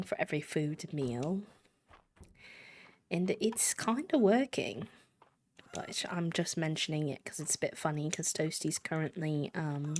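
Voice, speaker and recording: A woman's voice: sits low, a little mellow. An American woman chatting casually on a game stream in a rambling, self-deprecating way. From United States. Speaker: live streamer.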